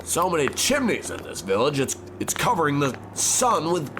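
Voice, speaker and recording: A man acting a well-to-do, pompous, easily exasperated gentleman in an old-time radio horror comedy, formal and fussy. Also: on the high side, wildly swooping pitch, moderate pace, background noise. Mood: uneasy, musing. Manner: formal, fussy, hesitant stammering when rattled.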